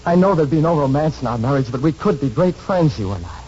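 An actor playing a lonely, disfigured and desperately unhappy man in 1940s radio drama, earnest, halting and pleading. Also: fairly high pitch, animated, darkish tone, slight background noise, close to an everyday voice. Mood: apologetic, distressed. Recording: muffled vintage radio broadcast with music.

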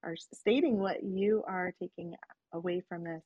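A woman hosting an online session, guiding a slow breathing and reflection practice in a soft, inviting manner. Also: lowish voice, husky, muffled recording. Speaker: host leading a guided breathing session. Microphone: online call.

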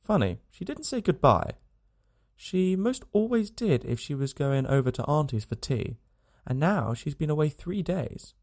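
Man doing little girl about five, high childish voice, innocent, earnest, folksy grammar, bit of gravel. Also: sing-song, measured pace, a little mellow, heavily acted.

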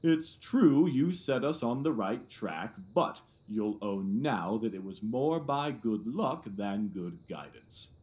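Pompous, blustering police detective. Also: male voice, medium pitch, animated, darkish tone. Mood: condescending bluster. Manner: blustering, condescending, repeats himself, emphatic pauses. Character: pompous, blustering, self-satisfied middle-aged male police detective. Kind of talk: audio drama acting.

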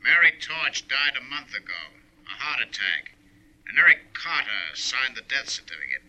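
A man's voice: mid-range voice, very animated, normal speed, pushed and bright. An actor playing a helpful male investigator reporting formally over the telephone in a 1950s radio drama. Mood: businesslike, helpful. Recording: dull old radio recording.

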